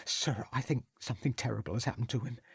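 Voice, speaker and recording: A man's voice: mid-range voice, brisk, a little mellow, husky, dry recording, studio quality, natural voice. A man putting on a fretful tearful female cook. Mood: distressed. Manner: anxious, quavering, stammering pleas.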